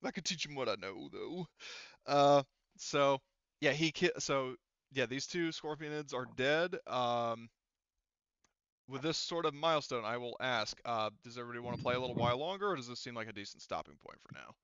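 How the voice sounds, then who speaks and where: A man working as game master, narrating a tabletop game casually in halting, hesitant phrases. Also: mid-range voice, fairly bright tone, clean tone, no echo, highs cut off.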